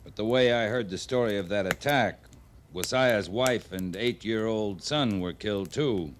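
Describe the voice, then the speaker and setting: An actor playing a laconic, plain-spoken frontier cavalry captain in an old radio western. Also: male voice, on the low side, a little slow, natural voice. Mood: grave, measured. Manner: terse, understated, plain-spoken. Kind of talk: 1950s radio western acting. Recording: noisy old radio drama recording.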